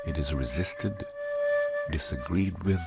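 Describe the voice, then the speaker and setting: A man giving measured, deliberate scripted documentary narration. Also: a bass voice, expressive. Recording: narration over clear background music. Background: instrumental music.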